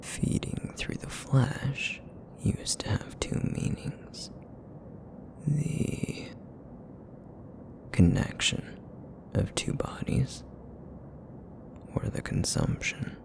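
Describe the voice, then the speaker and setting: A man voicing a broody, feral essence eater in an ASMR roleplay, with a raspy, rumbling murmur and a weary, guarded, reluctant manner. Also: animated, full character voice. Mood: brooding. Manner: brooding, reluctant, slow and terse.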